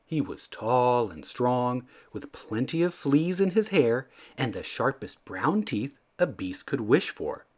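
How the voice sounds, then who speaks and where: A man narrates a children's picture book with expressive, scripted storytelling. His medium-pitched voice rises and falls in a lively, animated way. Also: takes it slowly, hardly any pauses, breathy edge.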